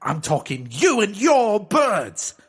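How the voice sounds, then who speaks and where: An actor playing a small, angry company boss in a comedic audio drama, blustering and threatening in old-fashioned tough slang. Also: male voice, high-pitched, huge pitch swings, slow, fairly bright tone, slightly rough, exaggerated voice.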